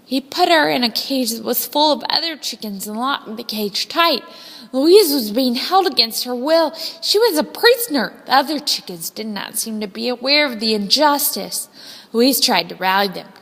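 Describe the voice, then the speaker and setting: A woman narrates a children's story in a fairly high voice with a touch of creak. Her phrasing is expressive and deliberate. A long reverb hangs around the recording. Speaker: storybook reader.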